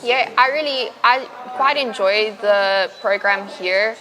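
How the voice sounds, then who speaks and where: Student interviewee, young woman, South African accent, bright tone, relaxed and filler-heavy. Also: medium pitch, slow, low-quality recording. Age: young adult. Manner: relaxed, chatty, lots of fillers like "um, so yeah". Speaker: university student. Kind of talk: casual interview answers.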